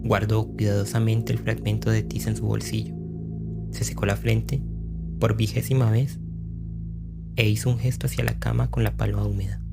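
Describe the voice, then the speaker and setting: Male narrator, lower register, dramatic horror audiobook reading, clear music bed underneath. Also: level tone, normal speed.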